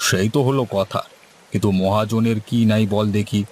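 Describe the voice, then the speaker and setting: Man playing older fisherman, resigned, rustic cartoon speech, slightly low pitch, faint background noise. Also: steady tone, moderate pace, a little mellow, close to an everyday voice. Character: weary, resigned older village boatman fisherman. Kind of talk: Bengali cartoon story dialogue.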